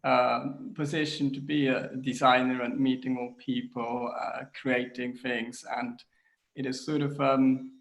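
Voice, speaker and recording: Man from Switzerland, English speaker, halting speech full of ums, home kitchen, video call. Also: mid-range voice, flat delivery, vocal fry, fairly bright tone, rough recording. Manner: hesitant, many fillers and restarts. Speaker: designer, interview guest. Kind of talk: casual video-call interview.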